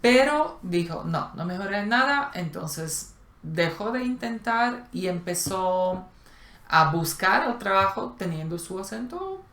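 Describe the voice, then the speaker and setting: A woman co-hosting a casual conversation, telling stories with pauses and restarts. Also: mid-range voice, very animated, a bit bright. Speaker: co-host of a language channel. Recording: roomy echo.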